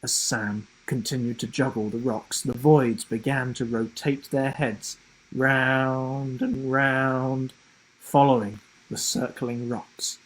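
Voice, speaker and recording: Male author-narrator for children's fiction: slow, deliberate storytelling, breathy husky tone, expressive delivery. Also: mid-range voice, low-quality recording. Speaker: author reading his children's book.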